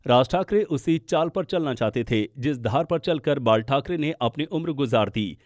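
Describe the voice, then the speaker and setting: A man's voice: mid-range voice, expressive, moderate pace, a little mellow, no room sound, studio quality. A man narrating a scripted political explainer as a news podcast presenter.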